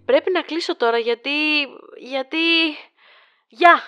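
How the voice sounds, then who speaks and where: A woman acting in an audio drama as a young niece, evasive, hurried and reassuring. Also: high voice, dull audio, natural voice. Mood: hurried, uneasy.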